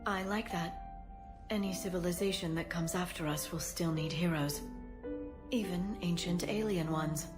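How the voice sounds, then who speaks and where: A gentle female scholar voiced by an actress in a sci-fi game, with a slightly low voice. She speaks earnestly and softly in measured phrases, over clear background music. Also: natural voice. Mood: affectionate. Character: gentle, scholarly female alien archaeologist, sincere and devoted. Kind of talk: video game cutscene acting.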